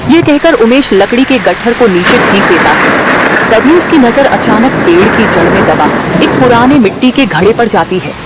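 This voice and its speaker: A woman's voice: on the high side, expressive, normal speed, very noisy. A woman narrator reading a scripted cartoon moral story.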